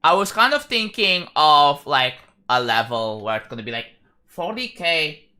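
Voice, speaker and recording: A young man from Indonesia with an Indonesian accent, chatting casually on a live stream, rambling and halting with frequent restarts. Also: on the high side, big pitch swings, pushed and bright, smooth. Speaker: livestreamer.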